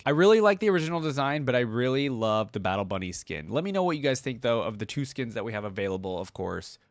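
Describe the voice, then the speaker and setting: A man's voice: mid-range voice, no room sound. A man giving casual, conversational reaction commentary as a host talking directly to his viewers. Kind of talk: casual reaction commentary, sometimes reading aloud.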